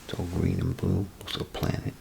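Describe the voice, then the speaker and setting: A man acts out a folksy, attentive bathroom attendant in an ASMR role play. His voice is extremely low and heavily creaky, often dropping into a breathy whisper. He rambles hesitantly and kindly to the listener, over clearly audible background noise. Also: monotone, fairly quick, a little mellow. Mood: chatty, explaining. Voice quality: breathy, gravelly half-whisper. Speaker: ASMR performer.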